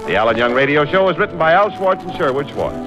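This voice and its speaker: Male radio announcer over loud music. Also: on the high side, animated, fast talker. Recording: dull old radio recording, loud music.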